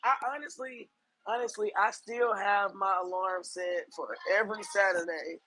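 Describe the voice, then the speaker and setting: Hoarse, gravelly tired man. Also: high voice, steady tone, moderate pace, bright, forceful tone, a little hiss or hum. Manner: tired, easygoing. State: hoarse from being sick. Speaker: caller. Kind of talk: casual live audio chat.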